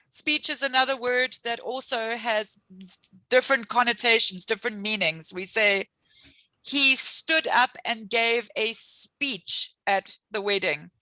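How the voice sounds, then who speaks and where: Bright-voiced woman teaching English online. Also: mid-range voice, no room sound, poor audio. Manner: patient, encouraging, explains words with examples. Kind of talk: online English lesson with students.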